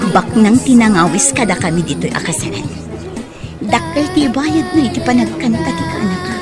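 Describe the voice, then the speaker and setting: Woman acting a greedy aunt, Ilocano accent, audio drama, animated high voice, exclamatory. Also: moderate pace, loud music behind the voice, close to an everyday voice. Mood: gloating, excited. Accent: Filipino, Ilocano. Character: greedy, scheming aunt exploiting her singing nephew.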